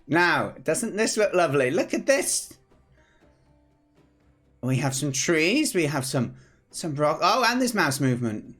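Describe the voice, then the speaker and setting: Slightly hoarse British man chatting. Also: fairly high pitch, big pitch swings, slow pace, long pauses, a bit bright, soft background music. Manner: chatty, rambling with restarts. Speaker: game developer, streamer host. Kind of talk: casual devlog chat. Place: home office at a desk.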